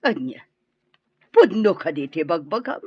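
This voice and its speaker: An actress playing a brisk, bossy Filipino mother of the household in an Ilocano radio drama. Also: on the low side, big pitch swings, husky, no echo, muffled recording, heavily acted. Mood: concerned.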